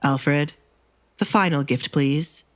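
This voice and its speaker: A woman's voice: low voice, slow, dry recording, pitched below the performer's own voice. A woman voicing a stern young male duke with a clipped, commanding manner in an audio romance. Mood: curt.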